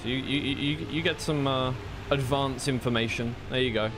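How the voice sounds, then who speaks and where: A man's voice: medium pitch, moderate pace. Man casting esports racing over noisy game sound. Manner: informal, slightly flustered, thinking aloud. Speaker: esports commentator and streamer. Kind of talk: live racing game commentary mixed with casual stream chat. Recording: clear noise, game car engines behind.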